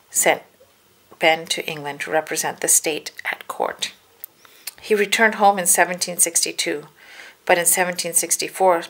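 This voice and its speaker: A woman reading a children's storybook aloud, with careful, deliberate phrasing for young listeners. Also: on the low side, a bit bright. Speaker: children's book reader. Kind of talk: read-aloud storybook narration.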